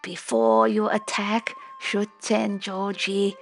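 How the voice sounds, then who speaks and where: Woman reading as a venerable, creaky old man. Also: medium pitch, flat delivery, slow, faint music behind. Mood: grateful, solemn. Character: venerable, grateful old man, a god in disguise. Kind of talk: storybook character voice.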